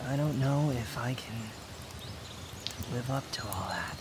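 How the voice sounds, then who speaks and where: A man's voice: medium pitch, animated, a little slow, very noisy, natural voice. A man playing a proud, bitter young man, guarded but tender, in an intimate ASMR roleplay with brooding, curt, confessional delivery. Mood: guarded, vulnerable. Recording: outdoor nature ambience clearly behind voice.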